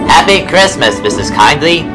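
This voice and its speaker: A man's voice: on the high side, sing-song, moderate pace. A man playing a matter-of-fact railway fireman in a fan audio drama. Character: plain-spoken male railway fireman. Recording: background music.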